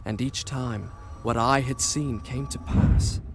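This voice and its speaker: Proud young prince narrating a game, brooding n formal, mid pitch, music behind. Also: male voice, steady tone, slow. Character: haunted, proud young prince telling his tale. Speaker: protagonist and narrator. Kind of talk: dramatic storytelling narration in a video game.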